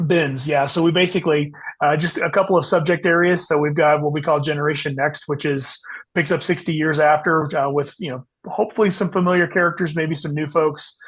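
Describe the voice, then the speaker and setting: A man with a slightly high voice talks casually as an interview guest. He is an author explaining his work in a conversational, unscripted way. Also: fairly even intonation, a little fast, a bit bright, slightly rough.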